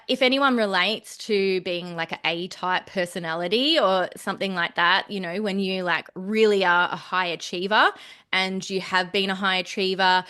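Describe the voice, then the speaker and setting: A woman co-hosts a casual podcast in a smooth, clear voice at a middle pitch. She thinks aloud slowly, pausing often on ums and likes as she works through ideas. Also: pushed and bright. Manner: reflective, hesitant, many ums and likes. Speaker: podcast co-host.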